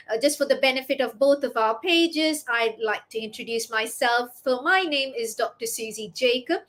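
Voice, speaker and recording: High-voiced woman hosting a chatty interview. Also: a bit bright. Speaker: interview host and business coach. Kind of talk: live online interview, chatty.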